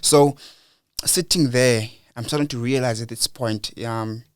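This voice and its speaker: A man's voice: medium pitch, fairly even intonation, a little slow, clear, resonant tone, dry recording, studio quality. A young South African man as a podcast guest, talking earnestly and reflectively, repeating words as he goes. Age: young adult. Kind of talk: casual interview talk.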